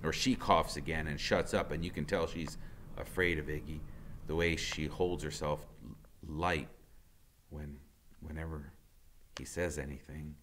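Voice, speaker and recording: A man reading a novel aloud like a teacher, with expressive, dramatic first-person storybook narration. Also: extremely low pitch, unhurried, pauses often, slight background noise. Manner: animated, dramatic.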